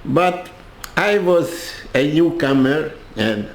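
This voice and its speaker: Slow, halting older Hungarian-accented man. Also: on the high side, lively intonation, echoey room. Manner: halting, with many restarts and repeated words. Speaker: interviewee. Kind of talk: personal storytelling.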